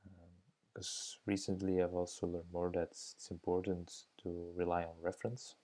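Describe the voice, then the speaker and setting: A man's voice: low voice, level tone, normal speed, warm tone. A young Belgian man who speaks Dutch as his first language, giving hesitant, thoughtful voice-over commentary on his drawing, with many fillers. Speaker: drawing teacher.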